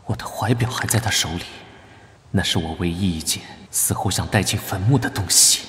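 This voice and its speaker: A man playing a proud, sickly young knight officer in an animated drama, breathy, curt and weary. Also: low-pitched, fairly quick, heavy background noise, natural voice. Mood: businesslike. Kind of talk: Chinese animated drama acting.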